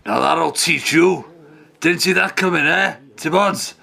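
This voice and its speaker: A righteous, God-fearing father from old radio melodrama, as acted by a man. His voice is heavy with creak and fry, moving slowly and earnestly through wide rises and falls, over a faint noise. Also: on the high side, sharp tone, exaggerated voice. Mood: triumphant. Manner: earnest, melodramatic, horrified exclamations. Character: devout, anguished father held captive, righteous and determined. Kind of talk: old-time radio play acting.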